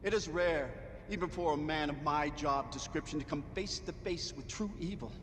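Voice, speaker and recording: An actor playing a pompous, sanctimonious judge of an oppressive regime, theatrical and savoring each word in a game cutscene. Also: male voice, moderate pace, natural voice. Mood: mock sorrow. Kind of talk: dramatic game cutscene acting. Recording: long hall reverb, slight rumbling noise.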